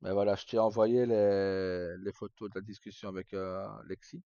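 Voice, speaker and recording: A man's voice: fairly low pitch, flat delivery, moderate pace, dark tone, no echo. Man, livestream host, casual chat, informal and sweary, dry dull muffled mic.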